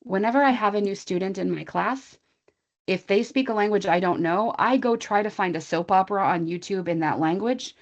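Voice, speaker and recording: An American woman teacher talks through a training session in a loose, chatty way, tossing in asides as she goes. Her voice sits at a middling pitch for a woman. The sound comes through a slightly dull, lo-fi online call. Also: a little fast. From USA. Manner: chatty and informal, thinking aloud with asides to the audience. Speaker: English teacher presenting a training webinar. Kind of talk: conversational online presentation.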